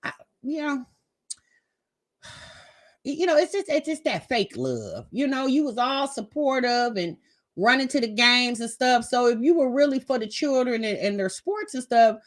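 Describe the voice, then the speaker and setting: A woman's voice: a little high, animated, moderate pace. An American woman giving casual, gossipy reaction commentary in a sassy, conversational way. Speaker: host reacting to a family vlog.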